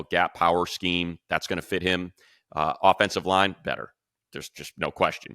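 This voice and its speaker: A deep-voiced American man hosts a sports talk show. His delivery is forceful and bright, in punchy, emphatic phrases, and the sound is dry and close. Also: dry recording.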